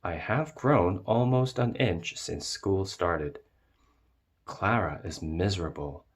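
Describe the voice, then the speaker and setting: Slow, low-voiced man reading a storybook. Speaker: read-aloud narrator. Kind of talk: expressive children's storybook narration.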